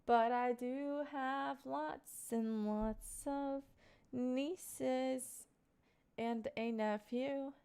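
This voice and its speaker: A woman's voice: high-pitched, steady tone, drawn-out words, warm tone, clean tone. A woman in her twenties talking casually on a live stream, answering viewer questions in a rambling, earnest way full of fillers. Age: 20s.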